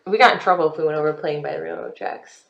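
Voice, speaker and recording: A woman's voice: sits low, very fast. A woman co-hosting a podcast in casual chat, teasing her partner and laughing often. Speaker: podcast co-host. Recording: echoey, dull lo-fi sound.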